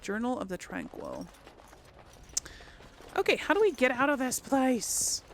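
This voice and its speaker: Female let's play host, slow and creaky, thinking aloud, faint noise behind. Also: sits high, very animated. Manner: thinking aloud, often trailing into mutters. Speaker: gaming video host. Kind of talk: casual let's play commentary.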